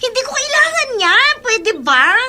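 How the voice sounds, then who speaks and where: A very high, bright and piercing Filipino teenage girl for a radio drama. She is haughty and spiteful, snapping with open scorn and irritation. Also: very animated, moderate pace, a little hiss or hum, exaggerated voice. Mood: irritated, dismissive. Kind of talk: Tagalog radio drama acting.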